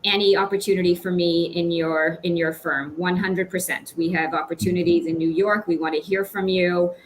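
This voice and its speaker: Low-pitched, encouraging woman hosting online. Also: fairly even intonation, moderate pace, low-quality recording. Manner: encouraging, effusive with praise. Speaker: webinar host and recruitment director. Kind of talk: hosting an online question-and-answer session. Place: small home office indoors. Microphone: computer microphone on video call. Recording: faint background noise on video call.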